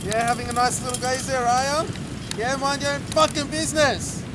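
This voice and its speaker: A man's voice: extremely high pitch, fairly even intonation, brisk. A young man with a cheeky, mocking manner, casually heckling people outdoors on a beach. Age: young adult. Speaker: prankster in a vlog. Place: open sandy beach by a bike path. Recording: outdoor camera, loud beach and wind noise.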